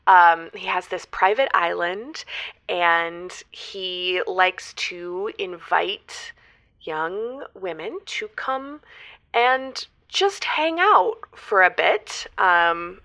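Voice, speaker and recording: A woman acts as a radio caller in her twenties, fresh out of college, with a medium-pitched voice. She talks in a hesitant, rambling way and often corrects herself. The delivery is casual and conversational, like an improvised phone-in drama. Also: a bit bright. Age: 20s. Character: Amelia, hesitant young female graduate phoning for advice.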